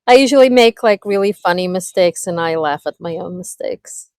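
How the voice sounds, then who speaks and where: A woman from Israel with an Israeli accent, speaking English as a second language in a casual group call, pausing and searching for words as she talks. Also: lowish voice, expressive, a little mellow, smooth, no echo, studio quality. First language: Hebrew. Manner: halting, searches for words with many fillers. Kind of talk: casual video call chat.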